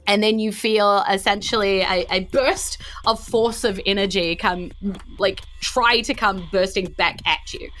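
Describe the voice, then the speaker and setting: A woman narrating a tabletop game as game master, explaining haltingly and acting out small effects. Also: medium pitch, fairly even intonation, fairly bright tone.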